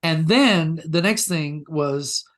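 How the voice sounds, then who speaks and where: A man's voice: a little high, slow, fairly bright tone. An older man with an American accent telling anecdotes conversationally as an interview guest, reflective and self-deprecating.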